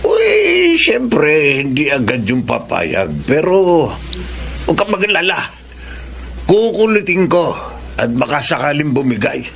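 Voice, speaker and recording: A man's voice: a little high, wildly swooping pitch, takes it slowly, vocal fry, a bit bright, heavily acted. A man acting a pushy, scheming middle-aged father in a Filipino radio drama. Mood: scheming, urging. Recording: faint background noise.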